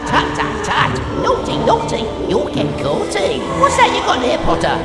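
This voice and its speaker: A taunting poltergeist from a video game. The voice swoops wildly in a high, mocking sing-song. It rings with echo beneath loud music. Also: male voice, wildly swooping pitch, normal speed, exaggerated voice. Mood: mocking, gleeful. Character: mischievous taunting male poltergeist. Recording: echoey game audio under loud music.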